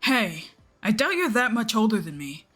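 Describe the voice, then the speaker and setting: A woman's voice: on the high side, very animated, moderate pace, a bit bright, soft background music, pitched above the performer's own voice. A woman voicing a nervous, easily offended young male inkling in a story, hesitant and defensive.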